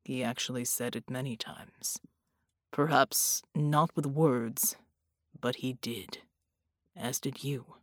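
A woman voicing a gruff but gentle, stoic man in an audiobook, in a low, measured murmur. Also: steady tone, no echo, studio quality. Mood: soft, consoling. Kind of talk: audiobook character dialogue.

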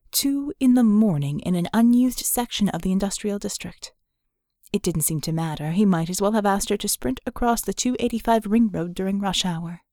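A woman's voice: medium pitch, dark tone, no echo, studio quality. A woman reading scripted fiction as an audiobook narrator.

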